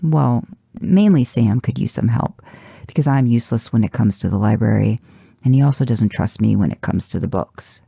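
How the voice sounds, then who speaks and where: A woman reads as a gruff, flirtatious storybook man, relaxed and gravelly. He talks casually in a low-key mumble, like an easy charmer. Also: moderate pace, dry recording, clean studio sound, exaggerated voice. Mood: sheepish, casual.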